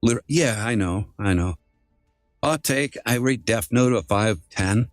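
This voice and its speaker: Low-pitched man streaming casually, dry close sound. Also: measured pace, dry recording, soft background music. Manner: profane, informal, repeats phrases. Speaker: livestream host. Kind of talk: casual livestream chat.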